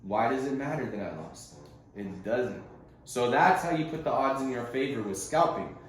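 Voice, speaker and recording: A man's voice: medium pitch, expressive, moderate pace, heavy reverb, slight background noise. A man coaching a group on a conference call, explaining things informally and conversationally with casual slang. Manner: casual and conversational, uses slang like bro and bud.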